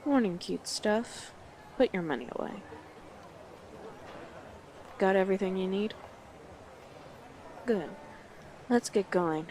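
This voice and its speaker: A woman's voice: medium pitch, takes it slowly, pauses often, darkish tone, a little hiss or hum, close to an everyday voice. A woman playing a brash, teasing female orc guide in a fantasy audio roleplay, with a blunt, sardonic manner. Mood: teasing, bossy.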